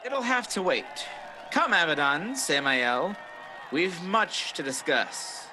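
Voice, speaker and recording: An actor playing a proud, scheming angel of light in a radio play, speaking in a smooth, grandiose, theatrical way. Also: male voice, on the high side, expressive, slow pace, crowd noise, low-quality recording. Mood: sly, commanding. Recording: radio play recorded over video call, music behind.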